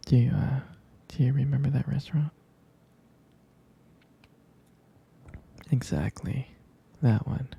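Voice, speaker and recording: A man playing a jealous, protective, devoted young boyfriend in an intimate ASMR roleplay, whispering hesitantly in a breathy, husky voice. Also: mid-range voice, highs cut off. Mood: soft, nostalgic. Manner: hesitant, slow, halting, soft-spoken.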